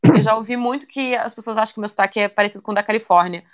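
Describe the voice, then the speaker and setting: A young woman chatting casually in an interview, relaxed and talkative, often ending phrases with little tag questions. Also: on the high side, rapid-fire. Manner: chatty, relaxed, many fillers like "né" and "sabe".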